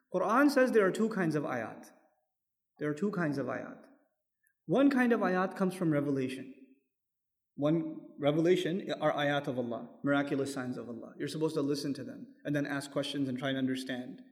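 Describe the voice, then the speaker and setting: Guy giving a quran lesson, fairly high voice, lots of hall echo, keeps asking the room questions. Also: darkish tone. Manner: explains step by step, asks the audience questions; quotes Arabic verses. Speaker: Quran teacher. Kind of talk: lecture-style sermon. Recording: long hall reverb.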